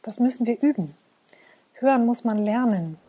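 A woman's voice: fairly high pitch, lively intonation, moderate pace, background noise. A woman speaking as an expert in an interview, explaining things through rhetorical questions and examples.

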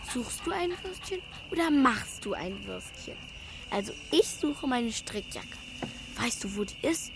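A woman's voice: high voice, background noise, full character voice. A woman playing a playful ten-year-old girl in a children's radio play, cooing, chatty and furtive.

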